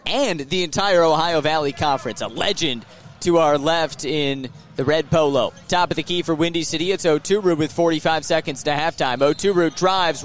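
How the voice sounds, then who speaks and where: An American man doing live radio play-by-play of a basketball game, punchy and rising excitedly with the action. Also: fairly high pitch. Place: live inside a sports arena.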